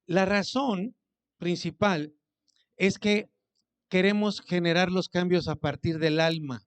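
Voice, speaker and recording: A man's voice: sits high, steady tone, slow pace, clear, resonant tone. A man preaching a sermon as pastor, speaking conversationally, asking his congregation questions and acting out what people say to him.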